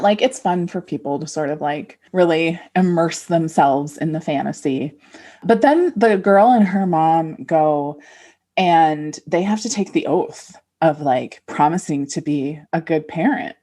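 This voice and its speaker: A woman's voice: deep voice, animated, measured pace, warm tone. Woman podcaster with playful, mock-serious wit. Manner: playful mock-serious narrating with wry asides. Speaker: podcast co-host; midwife. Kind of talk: casual comedic chat.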